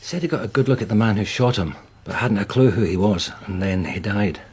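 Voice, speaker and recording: A man acting in a radio drama as a weary provincial police detective, matter-of-fact and resigned, with a husky, rough voice. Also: fairly low pitch, fairly quick, darkish tone. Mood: dry, reporting.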